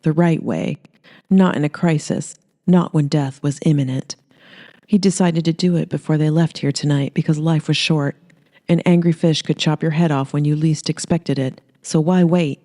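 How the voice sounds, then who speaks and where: A storyteller narrates a scripted comic horror audiobook. The voice is mellow and relaxed, sitting at a middling pitch. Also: normal speed.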